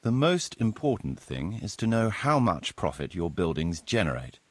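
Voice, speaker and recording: Video game role: practical, courteous village steward, husky and measured, recorded close and dry. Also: male voice, sits low, sing-song, no echo, heavily acted. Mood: explanatory. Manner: measured, formal, instructive. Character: practical medieval village steward, courteous, businesslike adult man. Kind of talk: video game cutscene dialogue, explanatory.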